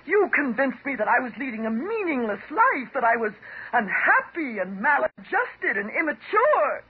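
An actress playing a bitter, wounded divorced housewife, sarcastic and dramatic, in the style of 1950s radio drama. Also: female voice, a little high, breathy edge, full character voice. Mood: bitterly sarcastic. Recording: muffled, noisy 1950s radio recording.

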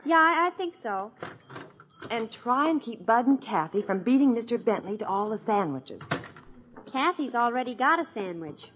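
A wry, exasperated suburban American woman in a fifties radio comedy. Her voice is a little high and she grumbles about the household. The recording is dull, like an old broadcast. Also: animated, moderate pace, fairly bright tone, a little hiss or hum. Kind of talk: 1950s radio sitcom acting.

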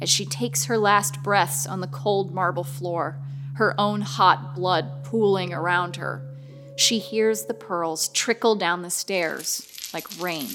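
A woman narrating a horror radio drama in a deliberate, ominous, dramatic storytelling manner. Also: on the low side.

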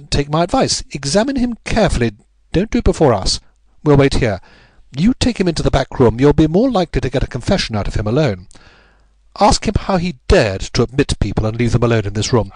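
A man's voice: on the high side, sing-song, fairly quick, a little mellow, no echo, pitched above the performer's own voice, rough recording. A man voicing an intense, masterful detective in an audiobook, speaking in an imperious, clipped manner. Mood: urgent, commanding. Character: intense, commanding male detective, keen and masterful. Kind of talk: audiobook character voice.

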